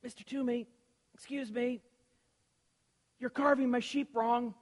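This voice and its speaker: A woman's voice: on the high side, fairly even intonation, a little slow, pitched above the performer's own voice. Eager little boy voiced by a woman. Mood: earnest. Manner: earnest, pleading, stumbling starts. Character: eager polite seven-year-old boy. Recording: echoey room, long reverb, faint hiss.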